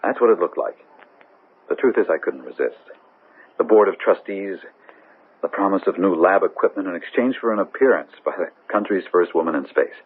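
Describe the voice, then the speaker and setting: An actor playing an earnest, stubborn, hurt fiancé with a husky voice in a 1970s radio drama. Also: male voice, deep voice, animated, a little fast, a little hiss or hum. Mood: earnest, apologetic. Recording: narrow lo-fi 1970s radio recording.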